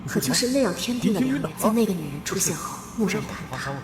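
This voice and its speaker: A woman playing a young noblewoman reborn for revenge, narrating solemnly and with measured drama. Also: medium pitch, very animated, background noise, lightly acted. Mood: wistful, measured. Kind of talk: dramatic first-person narration for an animated period drama. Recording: echoey long reverb over loud music.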